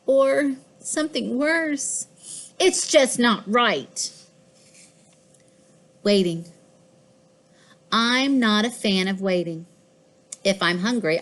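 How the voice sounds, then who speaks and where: A woman voicing a boastful, fussy pet house cat in a children's storybook, with expressive, deliberate delivery. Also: on the high side. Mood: dramatic dread, then imperious. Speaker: author reading own children's book. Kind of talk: scripted children's storybook narration.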